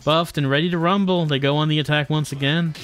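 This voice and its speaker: A man live-streaming a visual novel, reading the text aloud with wry, deadpan asides. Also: a little high, fast, soft background music.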